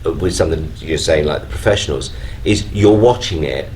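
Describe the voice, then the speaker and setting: A man's voice: very deep voice, a little fast, a little mellow. A man speaking earnestly as a guest in a live panel discussion, leaning on repetition for emphasis. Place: on stage at a fan convention. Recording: noisy live event room.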